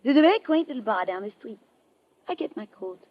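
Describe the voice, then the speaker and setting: A femme fatale with a high, very mobile voice. She is theatrical, affectionate and quick to coax or protest. It sounds like a dull, vintage radio broadcast. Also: big pitch swings, background noise. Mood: seductive, coaxing. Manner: intimate, coaxing, theatrical. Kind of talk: old-time radio drama acting.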